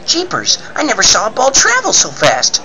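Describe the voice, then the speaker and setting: Man playing an excitable boy sidekick, wildly swooping pitch. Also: moderate pace, very noisy. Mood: amazed. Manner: gushing, wide-eyed exclamations. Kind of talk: 1940s radio serial acting.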